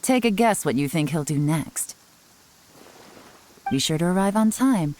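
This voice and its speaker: An actress voicing a composed, commanding female intelligence operative in a video game, speaking in a measured, assured way. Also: lowish voice, expressive, darkish tone. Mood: sly, confident. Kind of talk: English video game voice acting.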